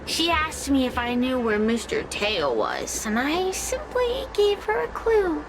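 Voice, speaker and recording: A woman's voice: high-pitched, very animated, a little slow, background noise, full character voice. A woman playing a sly, sadistic spirit in a video game, with a taunting, theatrical, cackling manner. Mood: gloating, cruel. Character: sly, sadistic, mocking spirit who relishes others' suffering.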